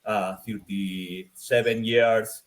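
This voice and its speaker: A man in his fifties speaks English as a second language, sitting in on a panel. His voice sits a little low, and he pauses often, restarting words and filling the gaps with "uh". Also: steady tone. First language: Portuguese or Spanish. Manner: halting, many fillers and repeated words. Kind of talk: panel self-introduction.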